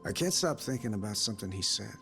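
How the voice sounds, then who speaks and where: Very deep voice, wistful adventurer, plainspoken, quiet, game drama, prominent music behind. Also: male voice, lively intonation, fast talker, creaky. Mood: wistful, subdued. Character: subdued, reflective grown son, adventurer. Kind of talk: English video game cutscene acting.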